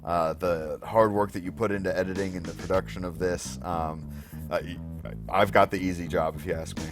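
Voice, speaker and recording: A man with a hoarse voice, co-hosting a podcast in casual, wordy chat, thinking aloud as he goes. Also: low-pitched, steady tone, fairly quick, music in the background. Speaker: podcast co-host. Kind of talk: casual unscripted chat about game shows.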